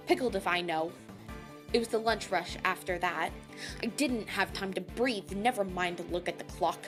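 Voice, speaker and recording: A woman playing a brash, hot-tempered chef in an audio drama, gruff and sarcastic, cursing mildly in food words. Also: mid-range voice, moderate pace, lightly acted. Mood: irritated. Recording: music behind the voice.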